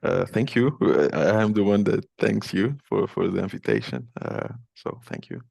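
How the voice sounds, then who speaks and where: Man from Cape Verde, podcast guest, hesitant with many fillers, gravelly low voice, dry sound. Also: sing-song, normal speed, darkish tone, dry recording. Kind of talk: casual interview.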